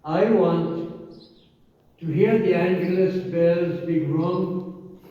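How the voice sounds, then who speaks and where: Older irish priest telling stories to a crowd, bit high voice, dull muffled sound, lots of hall echo. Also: male voice, slow. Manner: conversational, storytelling with asides and quotes. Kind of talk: informal talk to a group. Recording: echoey hall, distant mic, dull sound.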